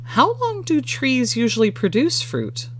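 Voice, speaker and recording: A narrator as an inquisitive, higher-voiced story protagonist. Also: wildly swooping pitch, measured pace, a bit bright, a little hiss or hum, full character voice. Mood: curious. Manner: questioning, curious. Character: inquisitive first-person owner of a mysterious backyard tree. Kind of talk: character dialogue in a narrated story. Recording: music behind the voice.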